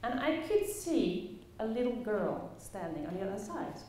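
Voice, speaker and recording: A woman from the Netherlands with a Dutch accent, telling personal stories as a conference speaker, expressively and with dramatic pauses. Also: mid-range voice, very animated, mellow. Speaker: conference speaker, play facilitator. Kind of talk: personal storytelling talk to a live audience. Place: echoey indoor conference room. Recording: very echoey room, faint background noise.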